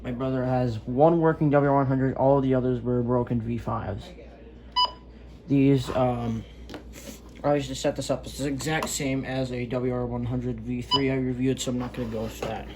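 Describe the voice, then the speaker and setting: A man's voice: medium pitch, fairly even intonation, moderate pace, background noise. A man giving a casual, unscripted product review in a hesitant, rambling way, on an amateur home recording. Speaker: gadget reviewer.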